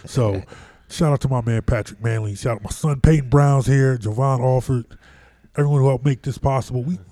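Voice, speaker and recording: Deep-voiced Philly man, laid-back host. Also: fairly quick, no echo. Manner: relaxed, repeats words, lots of restarts. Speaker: podcast host. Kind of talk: casual sports chat. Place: on location in a room.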